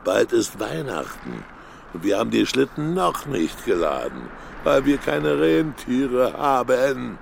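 A man playing a grumbling old Father Frost in a children's audio play, with a gravelly voice. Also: medium pitch, very animated, slow, slight background noise, exaggerated voice.

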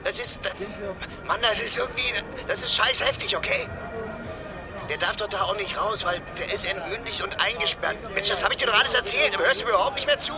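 A man's voice: high-pitched, quick pace. An actor playing an anxious, agitated young man in a radio drama, stammering and swearing as he pleads urgently. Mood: desperate, agitated. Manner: stammering, urgent, foul-mouthed. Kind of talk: naturalistic radio drama dialogue.

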